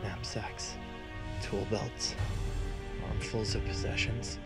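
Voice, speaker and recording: A man who hosts the channel reading a fantasy story aloud in a measured, deliberate way. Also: on the low side, fairly even intonation, music in the background. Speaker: channel host and narrator. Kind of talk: scripted story narration.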